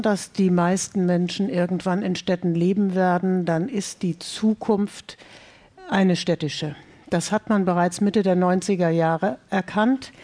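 A woman speaking as an expert interview guest, explaining in measured, formal phrasing. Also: mid-range voice, warm tone.

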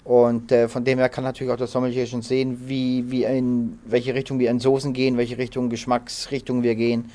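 A man in his thirties from Berlin, a head chef answering interview questions in a halting way with many fillers and restarts. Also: medium pitch, completely flat delivery, mellow. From Berlin, raised in southern Germany. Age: 30s.

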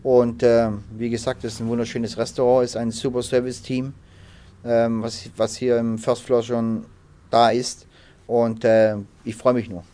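A man in his thirties from Berlin, a head chef answering interview questions in a halting way with many fillers and restarts. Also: medium pitch, little pitch movement, mellow. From Berlin, raised in southern Germany. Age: 30s.

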